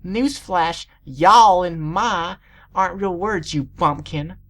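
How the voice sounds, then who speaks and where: A woman's voice: fairly low pitch, takes it slowly, a bit bright, pitched above the performer's own voice. A woman voicing a spoiled, haughty young filly with a temper in a pony story. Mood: scornful. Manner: haughty, emphatic.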